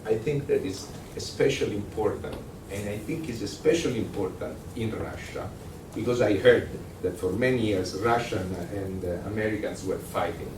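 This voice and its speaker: A man with an Italian accent giving a workshop lecture, conversational and halting, with many fillers and restarts. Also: lowish voice. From Italy. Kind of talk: workshop lecture with audience questions. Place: lecture room. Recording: echoey room, distant mic, noisy.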